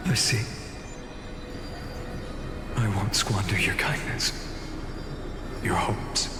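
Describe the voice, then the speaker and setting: A man playing a young, noble, tragic hero in a fantasy game, earnest and burdened, with weighty, halting pauses. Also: fairly low pitch. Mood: sorrowful resolve. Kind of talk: dramatic fantasy game voice acting. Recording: echoey hall reverb under music.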